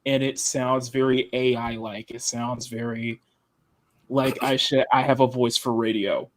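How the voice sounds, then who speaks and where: Deep-voiced Southern man hosting a live show. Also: steady tone, unhurried, no echo. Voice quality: deep, radio-style tone. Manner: rambling, restarts sentences, laughs often. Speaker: livestream host. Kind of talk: casual live show chat.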